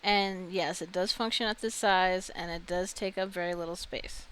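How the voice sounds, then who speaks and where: A woman's voice: on the low side, fairly even intonation, moderate pace, a bit bright, clean tone. A woman giving casual video game commentary, chatting and thinking aloud as she reacts to what she finds. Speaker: video game streamer and host.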